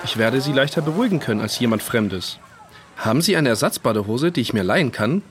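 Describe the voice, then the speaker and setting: A resolute father played by a man speaks at a medium pitch. He is a protective parent figure in an audio drama made for children. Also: animated, fast, natural voice. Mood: determined.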